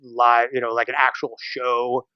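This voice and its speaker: An American man chatting casually as a podcast guest, with an animated, irreverent manner, prone to swearing and acting out little quotes. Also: mid-range voice, measured pace, clear, resonant tone, no echo, highs cut off. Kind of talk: casual interview chat.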